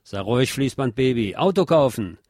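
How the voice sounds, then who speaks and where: A man's voice: medium pitch, lively intonation, moderate pace, a little mellow, dry recording, clean studio sound, lightly acted. Man reading as a stern, curt authoritarian. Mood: curt commanding. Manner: curt, commanding. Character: stern authoritarian conformist giving curt orders. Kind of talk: quoted song lines within narration.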